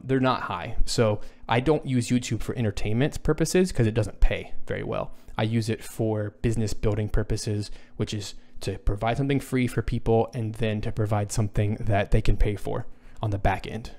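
A man in his thirties with a low voice, a music composer answering viewer questions in a casual livestream from his small home studio, thinking aloud with frequent fillers and restarts. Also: steady tone, normal speed, few pauses, darkish tone. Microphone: boom studio microphone, headphones on.

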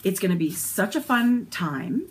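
Excited canadian woman in her 40s gushing about projects, voice jumps up and down a lot. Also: medium pitch, a little slow, a little hiss or hum. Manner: enthusiastic, talks in bursts with restarts. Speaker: podcast co-host. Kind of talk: casual chat. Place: indoor yarn-shelved craft room.

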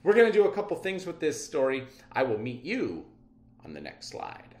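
A man's voice: medium pitch, wildly swooping pitch, normal speed, a little mellow. A middle-aged man teaching a children's reading lesson, explaining expressively from an indoor room. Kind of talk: instructional read-aloud lesson for children. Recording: roomy echo.

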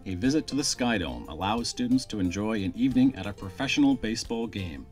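A man reading a scripted promotional voice-over in a smooth, even delivery. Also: lowish voice, fast, soft background music. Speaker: promo narrator.

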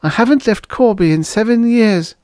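A man's voice: high voice, expressive, normal speed, a little mellow, clean tone, dry recording, studio quality, pitched above the performer's own voice. A man voicing a sympathetic, unhappy and guarded young waitress in a science fiction story. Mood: sad, guarded.

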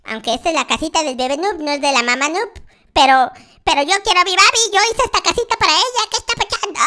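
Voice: extremely high pitch, expressive, fast talker, pushed and bright, full character voice. A performer voicing a whiny, naive baby boy in a Minecraft roleplay, with childish wording, exclamations. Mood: scared, whiny. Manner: childish diminutives, exclaiming, addresses viewers constantly. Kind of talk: in-character Minecraft roleplay commentary.

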